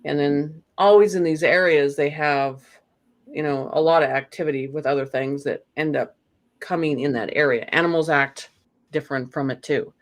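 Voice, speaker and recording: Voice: mid-range voice, fairly even intonation, normal speed. Rambling guest talking about earth energy. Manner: rambling, full of "you know" and restarts. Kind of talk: casual spiritual conversation.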